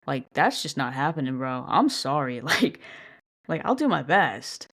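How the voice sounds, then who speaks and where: Monotone woman hosting a casual podcast. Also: rumbling low, measured pace. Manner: self-described monotone delivery; chatty, self-deprecating, mild swearing. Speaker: podcast host. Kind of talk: casual solo commentary.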